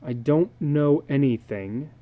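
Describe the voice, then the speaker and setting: A man's voice: medium pitch, slow pace, phone-quality sound, natural voice. A man reading the part of a stern, troubled father who is frustrated with his children. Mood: troubled, brooding.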